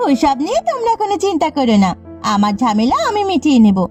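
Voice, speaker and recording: A woman voicing a brash, scheming young village woman in a cartoon, cheeky and sharp-tongued with defiant delivery. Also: sits high, very animated, fast. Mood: defiant, boastful. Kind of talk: cartoon dialogue. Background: faint music.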